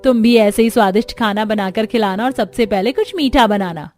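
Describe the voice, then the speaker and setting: A woman's voice: medium pitch, fairly quick, a little mellow, soft background music, close to an everyday voice. A woman voicing a middle-aged, bossy but affable mother-in-law in a cartoon.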